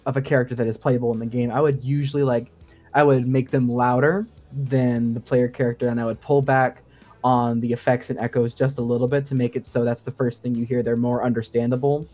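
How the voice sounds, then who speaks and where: Male podcast guest, sound designer, mid-pitched, keen and nerdy explainer, casual delivery, music underneath. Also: level tone, fairly quick, dark tone. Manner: enthusiastic, nerdy, chuckles as he explains.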